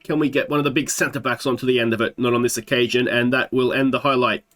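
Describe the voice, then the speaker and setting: A man's voice: mid-range voice, fairly even intonation, quick pace, fairly bright tone. Australian man, thirties, slight sore throat, let's play commentary, thinking aloud, desk mic. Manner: chatty, thinks aloud with fillers. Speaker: gaming YouTuber.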